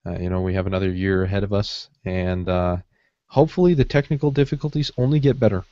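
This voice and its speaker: A man co-hosting a podcast with casual, deadpan joking over an online call. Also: low voice, quick pace, no room sound. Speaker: podcast co-host. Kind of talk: casual chat. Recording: dry, dull online call audio.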